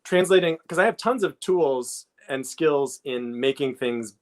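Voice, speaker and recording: A man hosting a casual interview, feeling his way through questions and rephrasing them as he goes. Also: on the high side. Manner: halting, repeats and rephrases questions thoughtfully. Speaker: interviewer, host.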